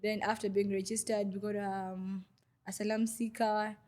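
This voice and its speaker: A woman's voice: medium pitch, little pitch movement, moderate pace, warm tone. A young woman from Goma in the DR Congo, a podcast guest, who tells her story in a hesitant way with many fillers. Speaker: guest, refugee vendor. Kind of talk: podcast interview.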